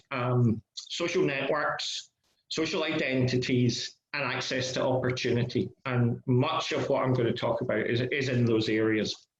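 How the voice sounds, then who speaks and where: A middle-aged man with a mixed Scottish and Northern Irish accent, giving an academic talk over a video call in a self-deprecating, hesitant way with frequent restarts. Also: medium pitch. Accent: Scottish and Northern Irish mix. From Scotland. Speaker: guest lecturer. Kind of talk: academic presentation. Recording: echoey room, dull lo-fi call audio.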